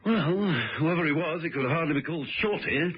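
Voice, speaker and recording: An actor playing a suave, unruffled British gentleman sleuth in a radio drama, urbane and dryly understated, on an old radio recording. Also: a little high, brisk, slightly rough, highs cut off. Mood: wry, alert.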